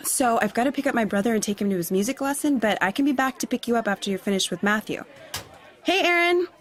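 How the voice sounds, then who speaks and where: A woman's voice: on the high side, lively intonation, a little fast, lightly acted. An actress playing a friendly, helpful young female friend in a naturalistic audio drama. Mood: friendly, light.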